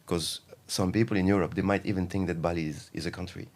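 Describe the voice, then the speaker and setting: A man from Morocco with a notably deep, low voice speaks as a guest on an interview podcast. He explains his advisory work in a relaxed, thoughtful way. His talk is full of fillers and restarts as he works through each idea. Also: fast, creaky.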